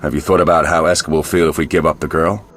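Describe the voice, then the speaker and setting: A hotheaded monster hunter with an extremely deep voice. He is scornful and combative, always spoiling for a fight in this game performance, with faint noise behind him. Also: steady tone, quick pace, fairly bright tone. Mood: scornful, defiant. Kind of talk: video game voice acting.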